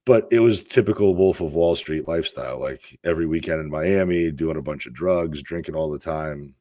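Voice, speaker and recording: A man's voice: extremely low pitch, normal speed, dark tone. A man in his thirties from Russia, a fitness coach telling candid, rambling stories as a podcast guest. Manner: candid, rambling storyteller with restarts. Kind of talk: casual interview talk.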